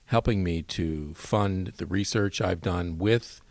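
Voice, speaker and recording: A theater director gives an explanatory interview. He has a very deep male voice, recorded dry with little room sound. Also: slow, darkish tone, no room sound. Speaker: play director being interviewed.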